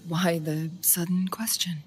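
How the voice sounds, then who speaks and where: An actress playing a composed, thoughtful young female strategist in a game, speaking calmly with a soft breathy edge. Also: lowish voice. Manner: calm, measured, softly breathy. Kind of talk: video game cutscene dialogue.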